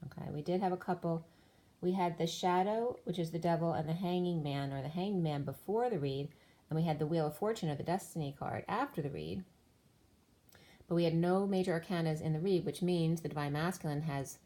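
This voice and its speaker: A woman's voice: on the low side, dark tone. A woman giving a solo spiritual tarot reading, explaining cards and numbers one by one while losing her voice. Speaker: tarot reader.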